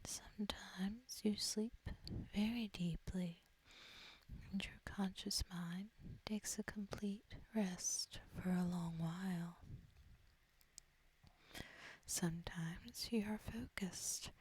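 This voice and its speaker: A woman softly whispering a guided sleep hypnosis in a breathy, husky voice, gentle and soothing, with long deliberate pauses. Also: medium pitch, steady tone, low-quality recording. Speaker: ASMR host guiding sleep hypnosis. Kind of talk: soft-spoken ASMR guided relaxation.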